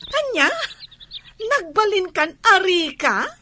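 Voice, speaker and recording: A woman's voice: very high voice, very animated, slow pace, noisy background, full character voice. A woman playing a chatty married Ilocano village woman in a comedy radio drama, given to exclaiming and exaggerated reactions. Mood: amazed, excited. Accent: Filipino, Ilocano.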